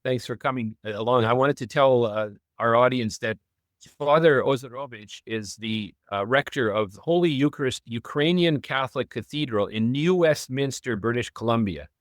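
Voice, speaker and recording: A man's voice: mid-range voice, moderate pace, no room sound, studio quality. A man hosting an interview, introducing his guest in a hesitant way with many fillers. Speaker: interview host.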